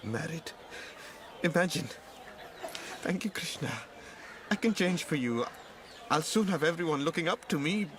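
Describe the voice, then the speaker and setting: A man's voice: on the high side, lively intonation, measured pace, pauses often. A man playing a bitter, distrustful, broken former lover in a radio drama, intense and questioning in his delivery. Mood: tender, hopeful. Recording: clear background noise.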